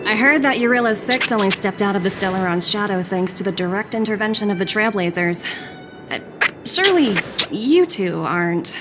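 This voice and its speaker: Clear game music sits behind a mid-pitched, polished voice acted by a woman. The young businesswoman sounds self-assured and courteous, with sly amusement in her delivery. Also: lively intonation, moderate pace, close to an everyday voice. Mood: amused, intrigued. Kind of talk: video game cutscene acting.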